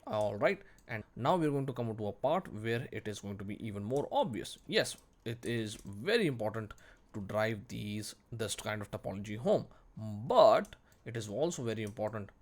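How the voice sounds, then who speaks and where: A man's voice: lowish voice, sing-song, moderate pace. A man teaching in an informal tutorial, speaking bluntly and emphatically and repeating phrases for stress. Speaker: 3D modelling teacher. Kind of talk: informal screen-recorded tutorial commentary.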